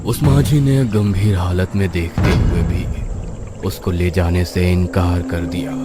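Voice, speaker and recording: A man's voice: low voice, steady tone, measured pace. A man narrating a scripted horror story, with music playing behind him.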